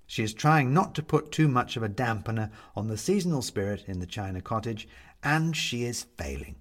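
A man's voice: medium pitch, lively intonation, moderate pace, a bit bright. A man narrating a literary story as an audiobook reader.

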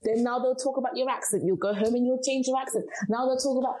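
A woman's voice: high-pitched, steady tone, fast, darkish tone, poor audio. A young woman from Zimbabwe, answering interview questions with earnest, encouraging advice. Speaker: guest speaker.

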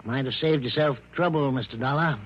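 An actor playing a blunt, folksy small-town police chief in a mid-century radio drama. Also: male voice, medium pitch, a little fast, husky, natural voice. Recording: muffled, noisy old radio broadcast.

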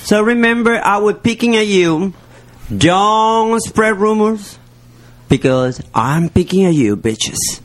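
Campy sassy guy w non-native english, high voice swooping around, doing a funny gossip rant. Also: expressive, slow. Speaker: podcast regular giving a weekly rant.

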